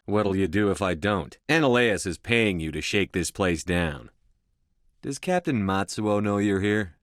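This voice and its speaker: An actor playing a stern, authoritative military space commander in a video game, with clipped, controlled, matter-of-fact delivery. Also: male voice, deep voice, fairly bright tone, no echo, studio quality. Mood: threatening.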